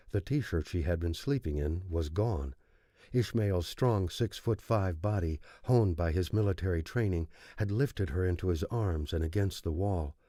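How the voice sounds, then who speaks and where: Male audiobook narrator, dark deep tone, scripted suspense storytelling, dry studio sound. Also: dry recording. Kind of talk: scripted dramatic thriller narration.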